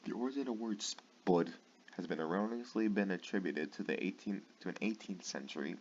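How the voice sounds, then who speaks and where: A man's voice: low voice, a little fast. A tired man reading aloud late at night, stumbling over words and breaking off for sarcastic, swearing asides. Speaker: channel host. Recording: dull lo-fi with faint noise.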